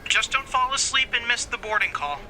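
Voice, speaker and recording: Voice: medium pitch, animated, brisk, sharp tone, slight background noise. An actor playing a worried, affectionate partner, heard through a telephone filter in an audio drama. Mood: worried, tender. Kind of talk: audio drama phone dialogue.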